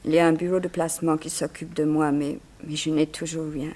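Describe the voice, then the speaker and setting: A woman's voice: low-pitched, flat delivery, brisk, a little mellow. Frail, faint young immigrant woman. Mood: weak, plaintive. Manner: faint, hesitant, apologetic, sighing. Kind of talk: 1960s French radio serial acting.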